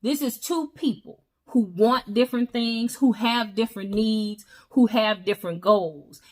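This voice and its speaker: A woman co-hosting a casual talk show, earnestly giving relationship advice in a relaxed style. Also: mid-range voice. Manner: earnest, advising, emphatic. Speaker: co-host. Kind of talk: casual chat about relationships.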